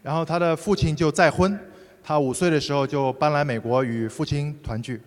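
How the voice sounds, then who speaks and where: A man interpreting a sermon consecutively, rendering each phrase fluently and briskly. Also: on the high side. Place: large church hall. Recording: hall reverb.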